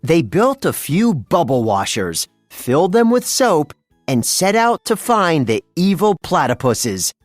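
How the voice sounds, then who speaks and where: A narrator reading a children's read-along storybook in an expressive storytelling manner. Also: mid-range voice, measured pace, dry recording, clean studio sound, soft background music.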